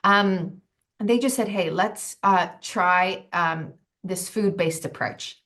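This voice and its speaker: A woman's voice: mid-range voice, takes it slowly, a bit bright. A woman in her forties talking as a nutritionist guest in a casual interview, thoughtful with frequent ums and restarts. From United States.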